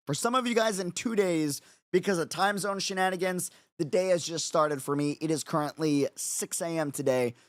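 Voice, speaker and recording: Hoarse, sleepless male streamer. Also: on the high side, no echo. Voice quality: raspy. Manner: rambling, repeats phrases, frequent fillers. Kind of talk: casual livestream chat.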